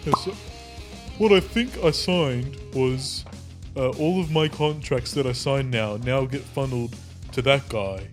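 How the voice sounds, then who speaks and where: Male tabletop player, medium pitch, casual improvised chat, faint music behind. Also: measured pace.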